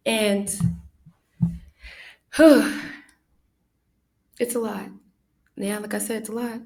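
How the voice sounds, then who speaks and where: Young woman talking soft and slow, lots of ums and likes, soothing voice, mid pitch. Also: steady tone. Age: young adult. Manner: soft-spoken, halting, with many fillers. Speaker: podcast host. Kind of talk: reflective personal monologue.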